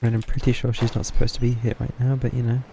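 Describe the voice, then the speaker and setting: A man's voice: mid-range voice, completely flat delivery, quick pace, creaky, over music. A man giving casual live commentary as he streams a game.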